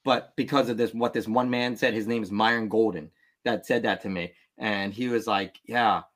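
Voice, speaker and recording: A man's voice: lowish voice, steady tone, normal speed, a bit bright. Earnest motivational man, podcast guest. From Vietnam. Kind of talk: candid interview talk.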